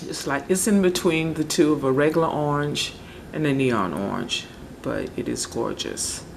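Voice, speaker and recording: A woman casually talking through a home nail tutorial, relaxed and chatty, often searching for words. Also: deep voice, moderate pace, gravelly, slight background noise. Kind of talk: casual how-to narration. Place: home table, indoors.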